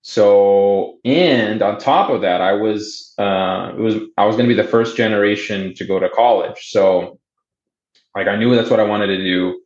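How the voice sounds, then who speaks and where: A man's voice: fairly low pitch, normal speed, a little mellow. A young man who first learned Portuguese, telling his story in a casual interview with many fillers and restarts. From Boston area, United States. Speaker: guest. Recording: roomy, dull remote audio.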